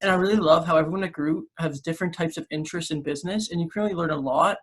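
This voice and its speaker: A young man, a student candidate, giving a steady, earnest debate answer. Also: a little high, racing, slightly rough. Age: young adult.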